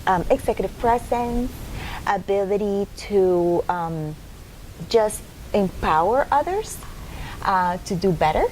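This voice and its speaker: A woman's voice: mid-range voice, animated, darkish tone, a little hiss or hum, poor audio. Thoughtful woman in her forties. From Venezuela. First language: Spanish. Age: 40s. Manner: thoughtful, measured, many ums and "and stuff like that". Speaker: guest. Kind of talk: casual interview.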